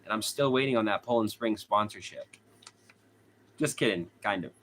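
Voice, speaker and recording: A man's voice: fairly low pitch, normal speed, a bit bright, slight background noise. A young man with a Boston accent hosting a casual true crime livestream, chatting with viewers, reading their comments aloud and laughing often. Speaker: true crime channel host. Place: hot room at home.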